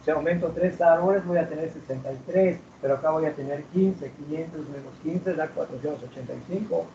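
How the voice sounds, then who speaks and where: A man teaching math to a class, asking questions and drawing out key words slowly. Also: on the high side, noisy background. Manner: asks students questions, drags out key words. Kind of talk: classroom lecture. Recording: roomy, distant, dull classroom recording.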